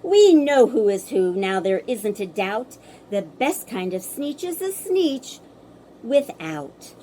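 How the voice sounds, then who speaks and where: A woman's voice: on the high side, big pitch swings, slow, warm tone, a little hiss or hum. A woman voicing proud, snooty bird-like creatures in a children's storybook, declaiming in an indignant, haughty manner. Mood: smug. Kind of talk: theatrical children's storybook character reading.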